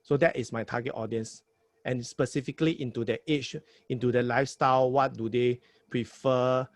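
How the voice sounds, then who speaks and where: A man's voice: mid-range voice, fairly even intonation, normal speed, no echo. Singaporean man giving emphatic advice. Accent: Singaporean English. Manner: emphatic, repeats words, tag questions like "right?". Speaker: podcast host advising a new host. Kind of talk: casual advice chat.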